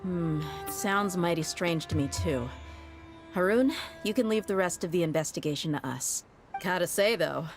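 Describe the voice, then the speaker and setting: A woman playing a confident, easygoing young desert mercenary in a video game, speaking with relaxed assurance. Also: deep voice. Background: soft game music.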